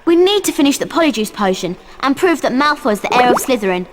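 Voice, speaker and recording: Casting: earnest schoolgirl witch, bossy and precise, high, animated voice, echoing game scene. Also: quick pace, background noise. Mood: brisk, determined. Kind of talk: video game voice acting. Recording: long hall reverb, music behind.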